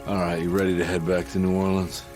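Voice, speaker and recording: An actor playing a gruff, wry detective in a horror video game, with a dry, sardonic manner. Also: male voice, low voice, completely flat delivery, fast, a little mellow, soft background music, close to an everyday voice. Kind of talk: video game cutscene acting.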